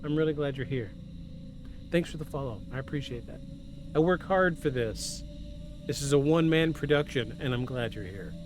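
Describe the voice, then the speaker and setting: A man's voice: medium pitch, moderate pace. A man hosting a live podcast from home, effusive and given to repeating himself for emphasis, moving between scripted narration and casual asides. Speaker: podcast host. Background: music.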